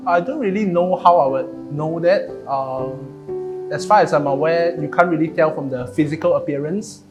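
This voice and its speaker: A young man, an engineering student answering in a measured way with fillers. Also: fairly high pitch. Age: young adult. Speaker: university student. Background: guitar music.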